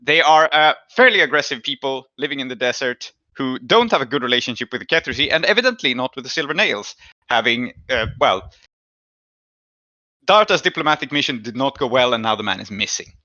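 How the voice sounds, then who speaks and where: A man's voice: mid-range voice, lively intonation, brisk, sharp tone, no echo, lo-fi sound. A man hosting a tabletop game podcast as game master, explaining the story so far in a casual way.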